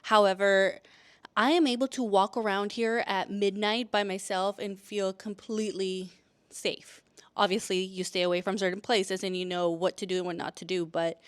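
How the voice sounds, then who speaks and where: A woman in her thirties, from El Salvador and raised in California, talks casually straight to the camera. Her voice is smooth and clear, at an ordinary pitch for a woman. She rambles in a friendly way, full of fillers and little restarts. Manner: chatty, frequent fillers and restarts. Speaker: vlogger. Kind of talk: casual talking-to-camera vlog.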